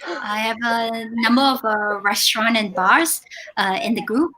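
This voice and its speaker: A woman from Singapore, a restaurant group owner speaking as a panel guest with frequent filler pauses. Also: a little high, pushed and bright, a little hiss or hum, rough recording. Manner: measured, many filler pauses. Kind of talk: panel discussion.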